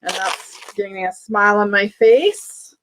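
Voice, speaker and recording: Slow, chatty Canadian woman. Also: medium pitch, fairly bright tone, slight background noise, low-quality recording. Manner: chatty, rambling, self-interrupting with fillers. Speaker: vlogger unboxing auction finds. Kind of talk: casual chat to camera. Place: sunny room indoors.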